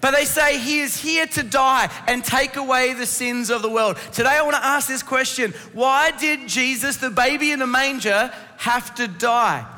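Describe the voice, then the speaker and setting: Male preacher, very high pitch, bright forceful tone, voice strained by laryngitis, instructive sermon delivery, rhetorical questions. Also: normal speed, hardly any pauses. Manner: rhetorical questions, instructive, addressing the congregation directly. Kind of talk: Christmas sermon to a congregation. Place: church hall. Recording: reverberant hall sound.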